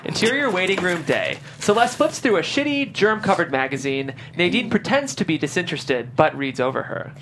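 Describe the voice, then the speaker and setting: A woman narrates a screenplay's scene directions. Her voice is low, with lively, varied intonation, over slight background noise. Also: normal speed, few pauses. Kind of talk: scripted narration at a table read.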